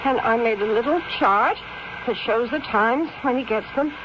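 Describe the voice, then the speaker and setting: An actress playing a dutiful, nervous nurse in a 1970s radio drama, earnest and deferential. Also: female voice, a little high, normal speed, lightly acted. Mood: eager, deferential. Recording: dull, noisy old radio broadcast recording.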